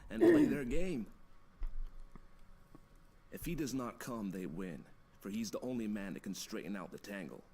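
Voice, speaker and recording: A man's voice: lowish voice, wildly swooping pitch, normal speed, pauses often, dark tone, a little hiss or hum. An actor playing an urgent, conspiratorial American man on the run in a 1914 thriller game, grave and emphatic. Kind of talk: dramatic game voice acting, monologue.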